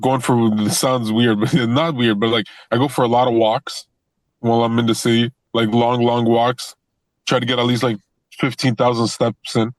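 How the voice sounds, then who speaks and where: Young male wrestler guest, easygoing, rambling restarts, mid-pitched, dry mic. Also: normal speed, no echo. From Toronto, Canada. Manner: relaxed, repeats words and restarts often, laughs easily. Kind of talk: casual interview chat.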